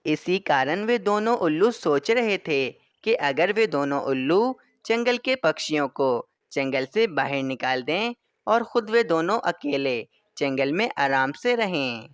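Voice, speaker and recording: Smooth steady storybook narrator. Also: mid-range voice, unhurried, no echo.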